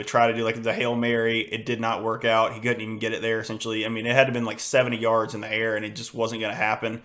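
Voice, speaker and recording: Hoarse man, flat rambling sports talk. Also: lowish voice. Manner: rambling, frustrated, many fillers and restarts. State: hoarse, losing his voice. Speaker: podcast host. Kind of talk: casual solo sports podcast.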